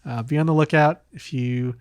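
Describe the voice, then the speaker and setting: A man's voice: fairly high pitch, fairly even intonation, normal speed, no echo. A man hosting a casual podcast, talking in a hesitant way as if thinking aloud. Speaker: podcast host.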